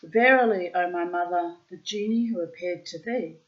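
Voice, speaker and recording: A woman's voice: on the low side, normal speed, lo-fi sound, lightly acted. A woman reading the lines of an earnest young son answering his mother in formal archaic speech.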